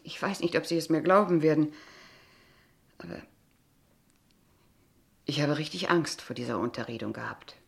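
A kindergarten teacher in a radio drama. Her voice sits low for a woman, and she speaks with formal, measured courtesy. Underneath the politeness she is pointed and quietly persistent. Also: animated, vocal fry. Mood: pressing. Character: polite, insistent, probing kindergarten teacher, a young unmarried woman. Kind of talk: German radio play dialogue acting.

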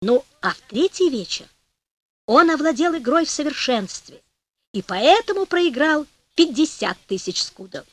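A woman narrating a folk fairy tale for an audiobook with expressive storytelling. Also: high-pitched, a little slow, no echo. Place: recording studio.

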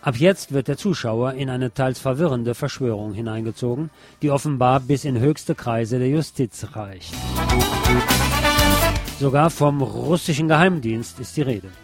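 Mature male radio host, medium pitch, deliberate review delivery with music underneath. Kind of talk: spoken review on a radio show.

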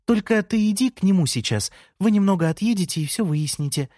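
A calm, agreeable woman neighbor, put on by a male narrator in a higher voice. She talks soothing and matter-of-fact. The sound is dry and close. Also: fairly quick, no room sound, close to an everyday voice.

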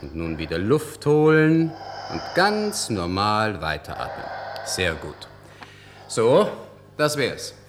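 A breezy, commanding clinic doctor in a radio play. The delivery is very animated, dropping low and swooping up as he instructs. Faint noise lies behind the voice. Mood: jovial, commanding. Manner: jovial, brisk, instructing. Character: breezy, bossy, joking male clinic doctor. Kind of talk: German radio play acting.

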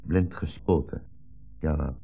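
An actor playing a mild, patient old blind rabbi in a 1960s radio play, speaking politely and with measured precision. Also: male voice, extremely low pitch, creaky, breathy edge, full character voice. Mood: subdued. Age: older. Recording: muffled old radio recording, narrow band.

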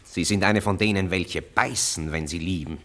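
Deep-voiced, ironic worldly gentleman. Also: normal speed. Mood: ironic, commanding. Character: worldly, ironic gentleman, teasing and condescending to women. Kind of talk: radio drama acting.

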